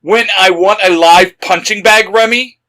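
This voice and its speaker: A man voicing a confident, sharp-tongued young vigilante hero with emphatic delivery in a fan fiction story reading. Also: sits high, unhurried, voice pushed up. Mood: dry, firm.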